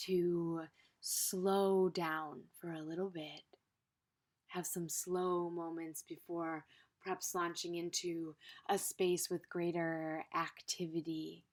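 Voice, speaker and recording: Lower-pitched woman guiding a meditation. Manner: gentle, unhurried, with pauses and soft trailing phrase endings. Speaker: meditation guide. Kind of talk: unscripted guided meditation.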